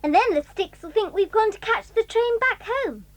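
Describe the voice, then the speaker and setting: An actress playing an eager, excitable young girl in an audio drama. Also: very high voice, moderate pace.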